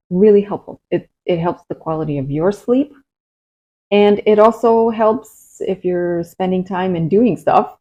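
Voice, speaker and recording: A woman coach speaks gently and without hurry, pausing often as she gives advice to her viewers. Her voice sits a little low and sounds mellow and relaxed. The audio is muffled and lo-fi. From Romania. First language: Romanian. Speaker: breathwork coach. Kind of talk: casual advice vlog.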